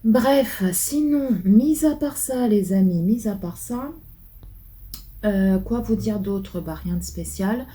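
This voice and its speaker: Une femme qui tient un vlog, bavarde et décousue, avec beaucoup d'hésitations et de répétitions. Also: female voice, mid-range voice, slow pace, warm tone. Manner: chatty and rambling, many fillers and repeats. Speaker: vlogger. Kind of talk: casual chat to camera.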